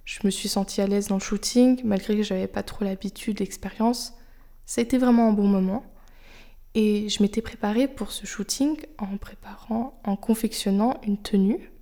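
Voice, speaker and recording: Soft-spoken young woman, mellow confiding tone. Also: medium pitch, steady tone, normal speed. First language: French. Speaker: podcast host. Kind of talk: personal reflective monologue.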